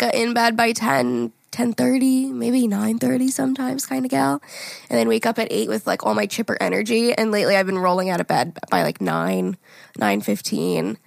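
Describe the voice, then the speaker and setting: A young woman co-hosting a podcast, with a raspy morning voice, chatting casually, laughing often and swearing freely. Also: fairly high pitch, no echo. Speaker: podcast co-host. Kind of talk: casual chat.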